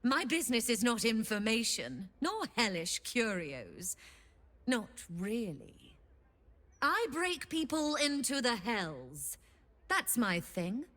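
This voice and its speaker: A woman's voice: fairly high pitch, big pitch swings, slow, fairly bright tone, full character voice. A woman playing a sly, greedy female devil who trades infernal bargains in a video game, theatrical, teasing and mock-courteous. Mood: condescending, boastful. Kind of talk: video game character acting.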